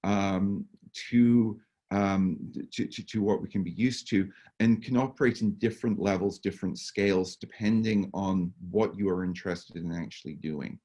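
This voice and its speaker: A man with a hoarse, fading voice and a cough, answering workshop questions over a video call with many stammered restarts and fillers. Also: low-pitched, moderate pace, dark tone. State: hoarse, losing his voice with a cough. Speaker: workshop presenter.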